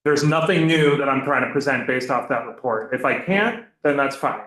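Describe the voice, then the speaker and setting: Loud man testifying in echoey room. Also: medium pitch, normal speed. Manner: loud, hesitant with many fillers. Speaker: victim advocate testifying. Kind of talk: committee testimony. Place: legislative committee room.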